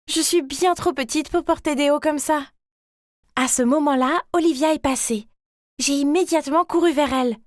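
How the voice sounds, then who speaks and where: Woman playing very high-pitched chatty schoolgirl. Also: no echo, clean studio sound, close to an everyday voice. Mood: reluctant, embarrassed. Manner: chatty, expressive, youthful. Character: chatty, ordinary schoolgirl, overlooked younger sister. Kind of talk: animated first-person story narration.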